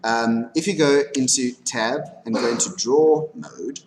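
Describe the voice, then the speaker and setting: A man lecturing informally on animation software, talking through a live demonstration and often checking in with his students. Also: mid-range voice, a little slow. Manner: instructive, frequently checking in with "okay". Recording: background noise, room ambience.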